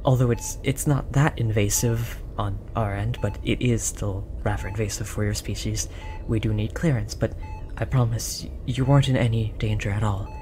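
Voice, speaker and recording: A performer voicing a gentle, apologetic alien researcher, speaking softly and hesitantly in an earnest, reassuring roleplay style. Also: medium pitch, steady tone, moderate pace, hardly any pauses, natural voice. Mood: flustered, apologetic. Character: tall, apologetic, gentle alien researcher soothing an abducted captive. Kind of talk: roleplay audio drama, soft comforting delivery. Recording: audible background noise, monitor beeping.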